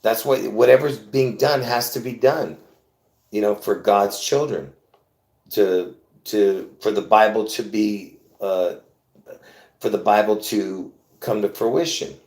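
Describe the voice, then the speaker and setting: A man with a fairly low voice rambles through a casual livestream monologue, chatting loosely, laughing often and restarting his sentences. His voice rings around a roomy, echoey space. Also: fairly even intonation, slow pace, a little mellow. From born in Lebanon. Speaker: livestream host. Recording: roomy echo.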